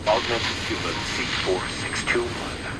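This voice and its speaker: An actor playing a mech's onboard computer in a video game, giving clipped, procedural and emotionless announcements. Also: male voice, on the low side, a little slow, heavy background noise, lightly acted. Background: loud game music.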